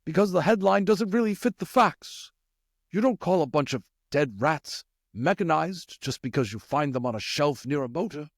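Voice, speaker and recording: An earnest older rat leader in a storybook, acted out by a man, pitched high with sweeping intonation. He is measured and deliberate, commanding and probing. The recording is close and dry. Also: animated, dry recording. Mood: pondering. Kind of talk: audiobook character voice.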